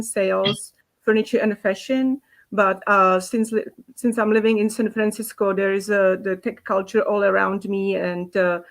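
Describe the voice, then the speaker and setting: A woman's voice: medium pitch, poor audio. Hesitant woman from the Czech Republic. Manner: hesitant, many fillers. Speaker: hackathon participant with a marketing background. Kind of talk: self-introduction on a video call.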